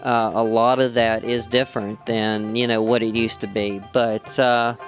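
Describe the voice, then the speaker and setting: A man's voice: mid-range voice, level tone, measured pace. A man from West Virginia, an actor, answering interview questions at a fan convention in a rambling way full of "you know" fillers and restarts. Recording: music loud behind the voice. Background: music playing.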